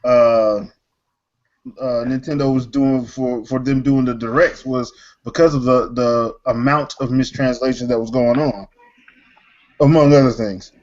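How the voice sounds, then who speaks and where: Male podcast host, medium pitch, easygoing slangy banter, slightly dull low-fidelity audio. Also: fairly even intonation, unhurried, a little mellow. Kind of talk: casual gaming chat.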